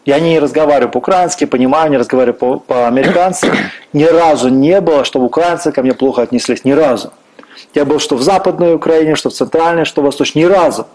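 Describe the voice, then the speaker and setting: A middle-aged man from Russia with a somewhat higher voice, talking on a church stage in a fervent, preacher-like way. He quotes scripture and speaks with earnest conviction. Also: fast talker, a little mellow, low-quality recording. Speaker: guest minister. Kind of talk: earnest faith-based interview talk.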